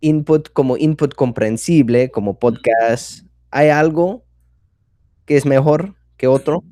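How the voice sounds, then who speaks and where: A man's voice: medium pitch, slow pace, warm tone, no echo, studio quality. A man taking part in a podcast chat as a language learner, asking questions hesitantly while he searches for words.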